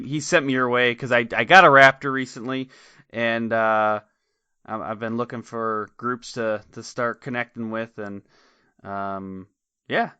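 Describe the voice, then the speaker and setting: A man's voice: mid-range voice, pushed and bright, clear, resonant tone, dry recording. A man with a Midwestern American accent hosting a podcast, chatty and enthusiastic with a joking streak. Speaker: podcast host. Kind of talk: casual interview chat. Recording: dry, slightly dull recording.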